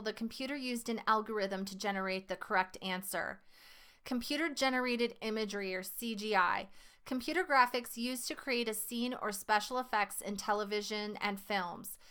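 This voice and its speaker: A woman with a fairly high voice reads a lesson aloud as a teacher would. Her delivery is instructional and explanatory, and she slips into casual asides between the written passages. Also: bright, forceful tone, smooth.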